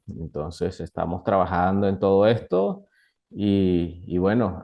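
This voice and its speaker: A young man with a Colombian Caribbean accent, explaining things as an expert guest in an interview, in a halting way with repeated words. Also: low-pitched, warm tone. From northern Colombia. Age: young adult. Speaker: guest doctor, nutrition expert. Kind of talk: interview, explaining to a lay audience.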